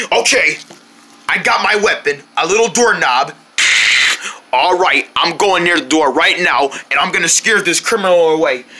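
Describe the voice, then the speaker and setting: A performer playing an excitable, easily frightened homeowner, exclaiming dramatically in an improvised monster story. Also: medium pitch, heavy background noise, natural voice. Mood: determined. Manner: exclaiming, dramatic, talking to self. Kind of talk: improvised play-acted story.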